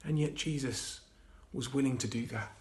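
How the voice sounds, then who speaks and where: Guy reading a kids bible story, soft and dramatic, middling voice, roomy echo. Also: mid-range voice, moderate pace. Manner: hushed, expressive, dropping to whispers.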